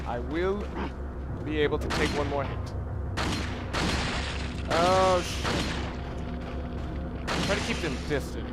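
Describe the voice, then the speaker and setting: A man's voice: on the high side, background noise. A man doing casual, unscripted game commentary, thinking aloud in a rambling way and often correcting himself. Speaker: let's player.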